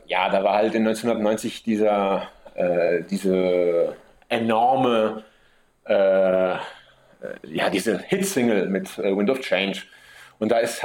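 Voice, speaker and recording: A man with an Italian accent, a guest musician answering interview questions casually, pausing often with fillers and restarts. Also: lowish voice, darkish tone. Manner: hesitant, many fillers and restarts.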